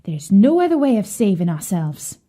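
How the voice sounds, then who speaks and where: A woman voicing a cruel, scheming mother in a children's storybook, with a sharp, bossy delivery. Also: mid-range voice, sing-song, moderate pace.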